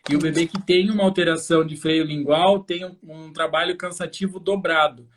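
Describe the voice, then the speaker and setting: A man's voice: a little high, fairly even intonation, a little fast, slight background noise. A man with a Brazilian accent hosting a live educational talk, explaining fluently and speaking directly to parents as a practitioner. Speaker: host, infant osteopath.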